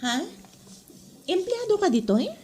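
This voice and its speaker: A chatty, curious woman office worker, acted by a woman with an Ilocano accent and very animated intonation. Her tone is mellow and friendly, in comedy radio play style. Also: very high voice, normal speed, slight background noise. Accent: Filipino, Ilocano. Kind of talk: Ilocano comedy drama podcast acting.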